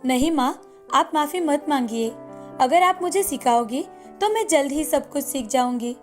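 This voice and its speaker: A woman's voice: high voice, lively intonation, normal speed, faint music behind. A woman voicing a meek, polite, pleading young daughter-in-law in a cartoon moral story. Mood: sincere.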